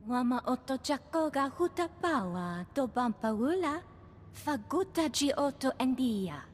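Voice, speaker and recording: An actress playing an animated female alien in a video game, speaking a bouncy invented tongue. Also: sits high, a little slow, in a large hall, a little hiss or hum.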